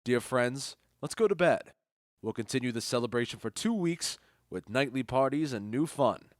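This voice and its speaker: A man's voice: medium pitch, expressive, moderate pace, no echo, clean studio sound, lightly acted. A man playing a wry, genial noble duke in an audio drama, assured and courtly. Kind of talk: audio drama Shakespeare in modern English.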